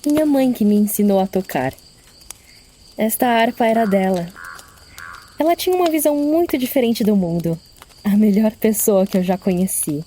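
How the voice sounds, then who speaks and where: A woman plays a young tiefling harpist in a fantasy audio drama. Her voice is mellow and soft, with a hesitant, wistful manner. Faint insect noise and clicks sit behind her. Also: medium pitch, lively intonation, normal speed, lightly acted. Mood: gentle, fond. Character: gentle young female tiefling harpist missing her mother.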